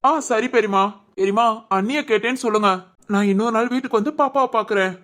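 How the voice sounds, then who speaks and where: A woman acts out a young son from a family audio drama. The voice is fairly high, earnest and respectful, with an anxious, pleading manner. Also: quick pace, close to an everyday voice. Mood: worried.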